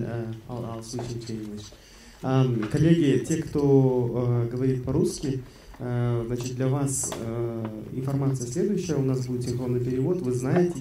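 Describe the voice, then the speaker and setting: A man's voice: medium pitch, dark tone. A man from Kazakhstan, an executive at the host company, giving housekeeping notes at a seminar, hesitant with many fillers and repeated words. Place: conference hall. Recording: echoey hall, far from the mic, light noise.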